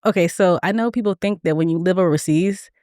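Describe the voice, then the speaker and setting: A woman's voice: lowish voice, dark tone, no room sound, studio quality. A woman in her forties, a food influencer guest chatting bubbly and easily in a podcast interview. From Memphis, raised in Atlanta and Germany. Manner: bubbly, quick and chatty with frequent laughs.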